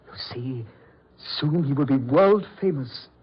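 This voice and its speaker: An actor in an old-time radio horror play as a proud, coldly polite mad doctor, speaking in a deliberate, theatrical way. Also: male voice, medium pitch, expressive, breathy edge. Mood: grandiose, obsessed. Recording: muffled vintage radio drama recording.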